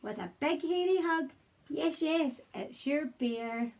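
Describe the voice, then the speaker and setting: A woman primary teacher with a slightly husky voice, talking to young children and reading a picture book aloud in an expressive, encouraging way. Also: high voice, slow pace. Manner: expressive, encouraging, simple address to small children. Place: child's room at home, indoors.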